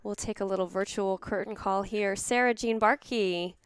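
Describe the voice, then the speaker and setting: A woman's voice: medium pitch, steady tone, normal speed, clean tone. A woman host addressing the audience of a live online theatre show, as in a curtain call or sign-off. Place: remote online video call.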